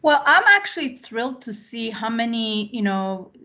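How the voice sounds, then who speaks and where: A woman's voice: on the high side, sing-song, moderate pace, bright, forceful tone. A woman in her late forties, originally from Iran, answering interview questions in a reflective, conversational way with frequent "you know" and "um". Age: 40s. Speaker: interview guest, tech executive.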